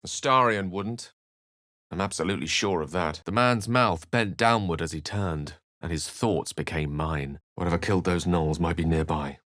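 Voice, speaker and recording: Erudite wizard companion for a fantasy game: deep register, measured theatrical diction, wry but earnest. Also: male voice, a bit bright, no echo, studio quality. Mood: wary. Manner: eloquent, measured, theatrical phrasing. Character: courteous, erudite human male wizard adventurer, wry and earnest. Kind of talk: video game character lines and narration.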